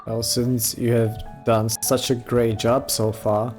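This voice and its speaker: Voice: medium pitch, level tone, takes it slowly, a little mellow, soft background music. A gaming streamer giving casual let's play commentary and reading out dialogue choices.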